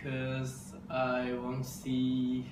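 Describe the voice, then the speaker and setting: A man of twenty with a Chinese accent, a native Mandarin speaker, answers casually and offhandedly. His pace is slow, and he sounds away from the mic in an echoey space with background noise. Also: mid-range voice, completely flat delivery. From China. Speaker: university student interviewee. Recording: distant mic, echoey room, clear noise.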